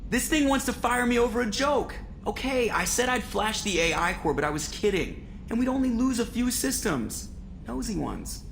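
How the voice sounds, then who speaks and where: A man's voice: very high-pitched, sing-song, moderate pace, gravelly, fairly bright tone, a little hiss or hum, heavily acted, rough recording. An actor playing a wisecracking, exasperated male starship pilot in a video game, sarcastic and full of complaint.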